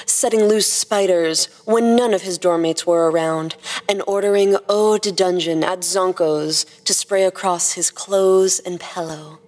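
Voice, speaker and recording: A woman host reading humorous fan fiction as scripted narration. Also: lowish voice. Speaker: podcast host and story narrator. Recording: long reverb, music behind the voice.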